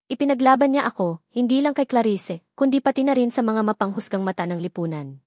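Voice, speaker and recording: A woman's voice: a little high, expressive, brisk, dark tone, no room sound, studio quality. A woman reading a scripted first-person romance story as narrator, in a dramatic storytelling manner.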